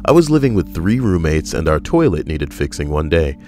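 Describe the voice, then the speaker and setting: A man co-hosting a casual podcast, reading out strangers' stories with wry, deadpan, rambling asides. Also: very deep voice, a little fast, soft background music. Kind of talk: casual joking commentary.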